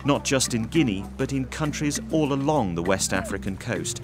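A man giving a scripted documentary narration in a measured, even voice. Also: mid-range voice. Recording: voice-over with music bed. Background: music.

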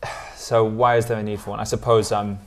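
Man with a low, flat, gravelly voice. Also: quick pace. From Switzerland. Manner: thoughtful, hedging with ums and restarts. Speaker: newspaper editor, guest. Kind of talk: studio interview. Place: TV studio. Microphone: lapel.

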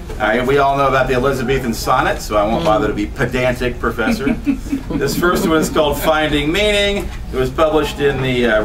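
A man in his fifties giving a poetry reading with chatty, laughing asides in a small bookstore room. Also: mid-range voice. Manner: animated, gesturing, laughs between lines. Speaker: poet. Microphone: far camera, no mic. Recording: voice from a distance.

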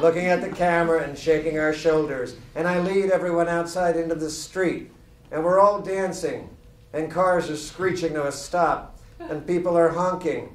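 A man's voice: a little high, steady tone, normal speed, a little hiss or hum. A man giving a live comedic reading from a book at a lectern microphone. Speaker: guest reader at a book party. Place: indoor room at a live party. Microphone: microphone on a lectern stand. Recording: roomy live event, loud laughing audience, distant mic. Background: loud audience laughter.

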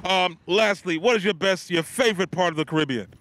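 A middle-aged man with a bright, forceful, fairly high voice, doing an upbeat street interview into a handheld mic. His promo patter is playful and punchy, and he talks outdoors by a row of shops. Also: fairly quick. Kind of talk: community TV interview.

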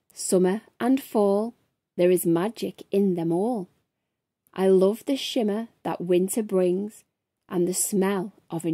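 Unhurried woman telling stories to children. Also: mid-range voice, breathy edge, no echo. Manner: expressive, sing-song, addressing children directly. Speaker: children's storytime host. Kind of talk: storytelling for young children. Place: small furnished room at home, indoors.

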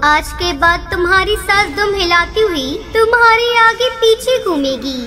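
Woman as a very high-pitched kindly ghost bird. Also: lively intonation, unhurried, music in the background. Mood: reassuring. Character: kind, brave young female ghost bird. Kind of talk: Hindi moral-story cartoon dubbing.

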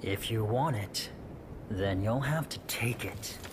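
A proud swordsman villain in a game cutscene. He is cold and aloof, speaking in a low register at a slow, measured pace. Every word is menacingly calm. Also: noisy background. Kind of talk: video game cutscene acting.

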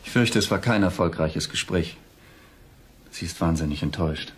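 A man's voice: sits low, normal speed, breathy edge. An actor playing a firm, worried middle-aged father and doctor in a children's audio drama. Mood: regretful. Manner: earnest, firm, easily exasperated.

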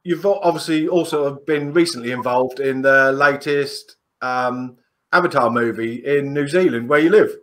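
A man's voice: mid-range voice, normal speed, fairly bright tone. A man hosting a podcast on a video call, giving a joking, friendly introduction to his guest. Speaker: podcast host. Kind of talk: casual interview.

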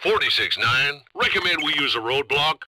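A man playing an urgent highway patrol officer in a video game, calling in with clipped phrases over police radio. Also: lively intonation, moderate pace, noisy background.

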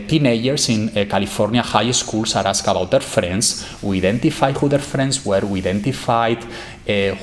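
A man's voice: mid-range voice, a little hiss or hum. A man, giving an academic conference talk at a podium microphone in a lecture hall, gesturing as he speaks. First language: Spanish. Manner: animated, gesturing, emphatic.

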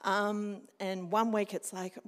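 A woman's voice: mid-range voice, little pitch movement, slow pace. A woman, a scripture teacher and school chaplain, chatting animatedly on stage and acting out classroom moments. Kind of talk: casual interview on stage. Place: church sanctuary. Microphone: handheld mic. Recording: long church hall reverb.

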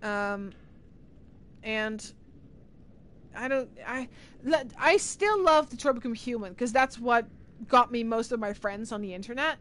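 A woman's voice: fairly high pitch, a little slow, pauses often, a bit bright, a little hiss or hum. A young woman with an Irish accent, chatting casually on a livestream from a room at home, rambling as she answers chat messages. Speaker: streamer.

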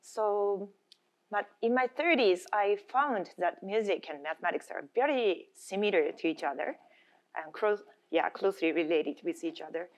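A middle-aged woman with a Japanese accent giving a conference presentation in halting English, searching for words as a non-native speaker. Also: medium pitch, lively intonation. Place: indoor conference room, at a lectern. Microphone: gooseneck lectern microphone.

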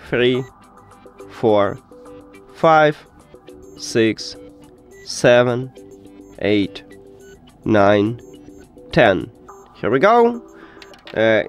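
A male tutorial host walks through instructions step by step in non-native English phrasing. His mid-pitched voice moves up and down in a lively way, with some music behind it.

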